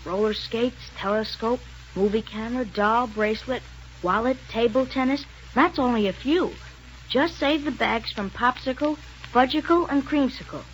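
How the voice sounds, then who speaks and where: A performer playing a chipper boy mascot pitching prizes to children in a 1930s radio advertisement. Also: moderate pace, a bit bright, highs cut off, lightly acted. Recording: noisy 1930s radio recording.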